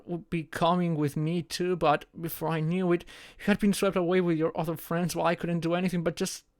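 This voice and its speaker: A young man playing a shy, anxious friend in an intimate audio roleplay, speaking haltingly with sighs and murmurs. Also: a little high, dry recording, clean studio sound. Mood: anxious, tearful. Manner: halting, sighing, breathy murmurs rising to tearful outbursts.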